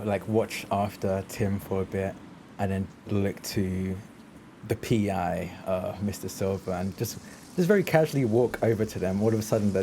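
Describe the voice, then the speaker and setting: A man's voice: deep voice, background noise. A man playing a tabletop game, casually narrating his character's actions in the first person. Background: music.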